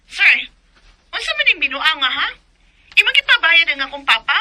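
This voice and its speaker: A woman's voice: high-pitched, big pitch swings, moderate pace, bright, forceful tone, full character voice. An actress playing an angry young woman customer who scolds and threatens a delivery rider over a phone call. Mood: furious.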